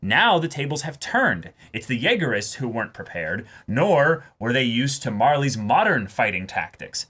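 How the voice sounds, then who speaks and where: A man's voice: mid-range voice, sing-song, normal speed, few pauses, pushed and bright, breathy edge. A man co-hosting a show, talking in casual, emphatic commentary with repeated words for stress. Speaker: co-host of anime reaction show.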